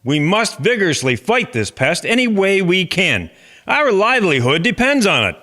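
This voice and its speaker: A man's voice: high-pitched, big pitch swings, moderate pace, pushed and bright, heavily acted. A man playing an earnest chairman of a farmers' agricultural society in a historical drama, speaking formally and instructively. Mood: cautioning, urging.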